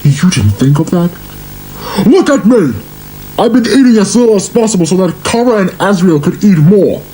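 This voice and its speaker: A man's voice: high voice, big pitch swings, normal speed, dark tone, a little hiss or hum, poor audio. A man voicing an angry, worn-out monster queen and mother in an amateur horror drama, accusing and emotional. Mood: furious, exasperated.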